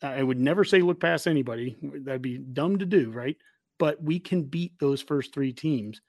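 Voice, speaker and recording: A man's voice: medium pitch, moderate pace, darkish tone, dry recording, clean studio sound. A man in casual sports chat, making his points emphatically and anecdotally as a fan show host.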